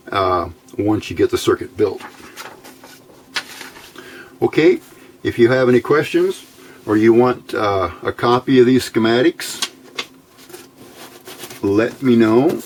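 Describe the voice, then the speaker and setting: Low-pitched man explaining a hobby build. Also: a little mellow, a little hiss or hum. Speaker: hobbyist presenter of electronics build videos. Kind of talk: informal technical explanation.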